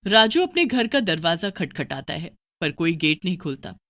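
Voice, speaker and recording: A woman's voice: lowish voice, sing-song, moderate pace, fairly bright tone, no echo, clean studio sound. A woman narrating a scripted horror story for a cartoon, in a storyteller's style.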